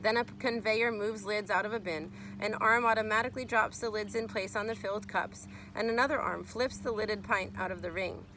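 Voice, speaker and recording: A woman's voice: mid-range voice, steady tone, a little fast, hardly any pauses, noisy background. A woman reading a children's nonfiction book aloud as a teacher would, explaining and speaking to young listeners.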